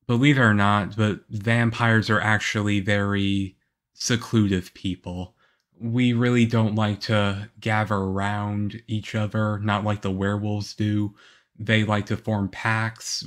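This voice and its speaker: A man voicing a slow-talking, shy young vampire boyfriend. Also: on the low side, flat delivery, natural voice. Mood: quiet, matter-of-fact. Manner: hesitant, halting, earnest. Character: shy, moody, lonely young half-vampire boyfriend, male student. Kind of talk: ASMR boyfriend roleplay audio drama.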